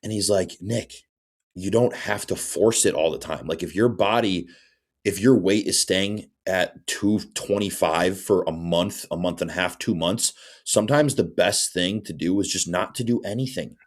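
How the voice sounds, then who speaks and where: Man in his twenties, deep voice, laid-back coach talking shop on a podcast. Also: normal speed. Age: 20s. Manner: relaxed, sprinkles in "bro" and "you know what I'm saying". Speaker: bodybuilding coach and guest. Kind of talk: casual podcast chat.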